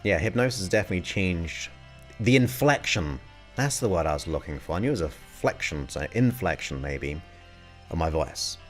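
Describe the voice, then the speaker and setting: Low, unhurried male voice in his forties, chatting casually through a live question-and-answer stream. He muses aloud and reads viewer questions as he goes. Soft music plays faintly behind him. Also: lively intonation.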